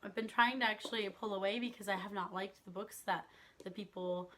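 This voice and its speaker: Young woman, slightly hoarse, chatty book vlogger, laughs a lot, casual talk to camera, mid-range voice. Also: medium pitch, steady tone, brisk, fairly bright tone.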